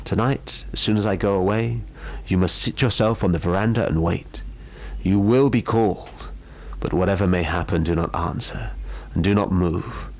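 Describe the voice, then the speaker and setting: A man's voice: sits low, moderate pace, darkish tone. A man voicing a grave, protective temple priest who speaks in solemn warnings, in a ghost story. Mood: stern instruction.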